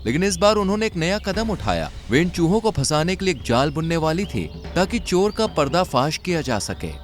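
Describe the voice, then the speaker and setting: A man's voice: a little high, sing-song, fairly quick, soft background music. A man narrating a scripted children's story as its storyteller.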